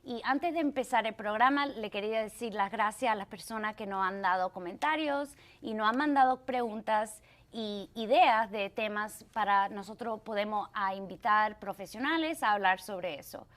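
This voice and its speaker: A woman's voice: medium pitch, moderate pace, a bit bright. A woman hosting a talk show, asking her guest questions and sometimes fumbling for words. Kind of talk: hosting an interview.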